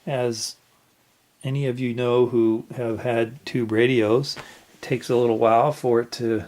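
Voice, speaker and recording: A man casually demonstrating old equipment hands-on, explaining in a halting, rambling way. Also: medium pitch, flat delivery, darkish tone, slight background noise. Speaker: reseller demonstrating a vintage tube radio.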